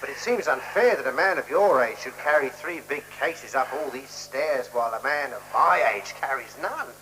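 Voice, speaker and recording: A man's voice: medium pitch, expressive, moderate pace, sharp tone. An actor playing an over-polite, fussily eager younger man in a radio play, formal, precise and ingratiating. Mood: eager, pleading. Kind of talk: 1970s radio play acting. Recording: humming, noisy 1970s radio recording.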